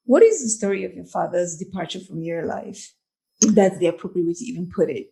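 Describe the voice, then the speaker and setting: A woman's voice: on the low side, fairly quick, creaky, mellow, poor audio. A woman host from Cameroon asks probing questions in a casual interview, often repeating words as she starts. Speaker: podcast host.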